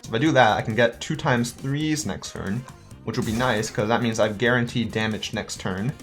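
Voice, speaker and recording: A man's voice: medium pitch, moderate pace. A chatty male let's-play host talking casually as he plays, thinking aloud and muttering counts under his breath. His voice sits in a middling register. Light music runs beneath him. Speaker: gaming channel host.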